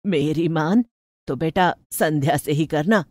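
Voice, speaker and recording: Village mother, older, opinionated but loving, homely scolding manner, lowered pitch, played by a female narrator. Animated moral tale. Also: a little slow, no echo. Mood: advising. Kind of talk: animated moral story dialogue.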